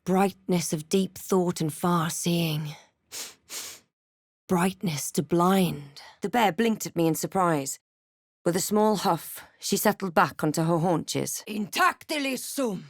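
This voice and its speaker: Measured fantasy heroine, close and dry. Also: female voice, fairly low pitch, dry recording. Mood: incanting spells. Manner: measured, literary, dramatic delivery. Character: adventurous female fantasy hero, wry, watchful and thoughtful. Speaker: player character voice in a fantasy role-playing game. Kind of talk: scripted game voice lines and narration.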